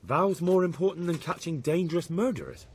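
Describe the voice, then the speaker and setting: A man's voice: fairly high pitch, animated, a little fast, darkish tone, slightly rough. An actor playing an earnest, dogged young man who questions people politely but insistently in a video game cutscene. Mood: indignant.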